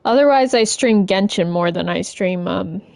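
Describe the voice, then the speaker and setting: A woman game streamer chatting casually and informally as she plays, in a halting, rambling way. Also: medium pitch, vocal fry, a little mellow, dry recording. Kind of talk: casual live-stream chat while playing.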